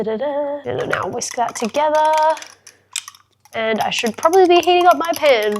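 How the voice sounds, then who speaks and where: A woman's voice: on the high side, darkish tone. A young woman with a congested, sick-sounding voice, chatting frankly and upbeat through a cooking tutorial in her home kitchen. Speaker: fitness vlogger.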